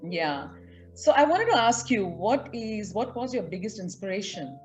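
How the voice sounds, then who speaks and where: A young woman hosting a casual interview, whose sentences often trail off softly toward the end. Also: medium pitch, sing-song, moderate pace, over music, rough recording. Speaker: host.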